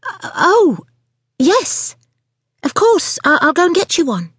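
A woman's voice: fairly high pitch, big pitch swings, normal speed, slightly rough, dry recording, studio quality, pitched above the performer's own voice, heavily acted. A woman voicing a flustered young waitress in an audiobook, stammering breathless apologies. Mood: flustered, startled.

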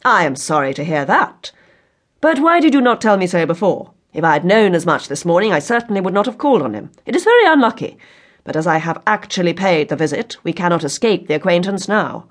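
A teasing middle-aged gentleman in an audiobook, voiced by a woman. He speaks in a measured, mock-solemn way with dry irony. The voice is husky, with lively movement in pitch. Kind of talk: audiobook character acting.

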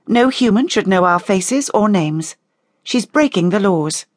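A woman's voice: mid-range voice, lively intonation, normal speed, no room sound, clean studio sound, voice pushed up, lightly acted. A woman voicing a bitter, restless female vampire in an audiobook, speaking with emphatic indignation. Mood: accusing. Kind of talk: audiobook character dialogue.

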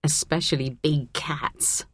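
A woman's voice: sits low, fairly even intonation, slow, husky, no echo, exaggerated voice. A woman voicing a friendly, earnest ten-year-old schoolgirl in a children's audiobook. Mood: friendly, eager.

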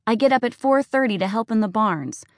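A defiant teenage farm girl, as a woman reader puts her on, pleading and accusing in a raised, lively voice. The sound is close and dry, as in a storybook reading. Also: on the high side, normal speed, no echo, voice pushed up, close to an everyday voice.

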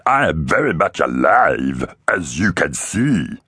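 A man's voice: a bass voice, sing-song, fairly bright tone, dry recording, clean studio sound, full character voice. Gurgling, pompous raptor king. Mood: vengeful. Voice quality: gurgling, rasping, hissing. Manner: pompous, drawn-out. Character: ugly hunched raptor king, pompous, fierce, vengeful.

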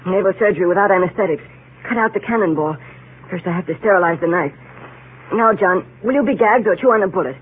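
A man's voice: high voice, fast, a little hiss or hum, natural voice. An actor playing a bossy young English boy who leads his playmates with solemn, commanding formality in a 1930s radio drama. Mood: brisk, stern. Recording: tinny, muffled 1930s radio broadcast.

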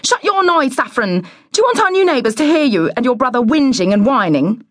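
Woman putting on a high, snappish, snooty matron. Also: sing-song, fairly quick, no echo, clean studio sound. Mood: furious scolding. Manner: snapping, haughty, curt. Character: huge, snappish, snooty neighbour woman with false politeness. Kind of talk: children's storybook character voice.

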